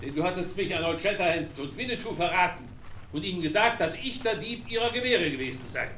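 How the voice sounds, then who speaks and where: A cruel old outlaw gloats in a high, bright, cutting voice. He sounds distant in a roomy space, with a faint outdoor ambience behind him. The radio-play acting is broad and sneering. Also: animated, fast talker, slight background noise. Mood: gloating. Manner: sneering, mocking.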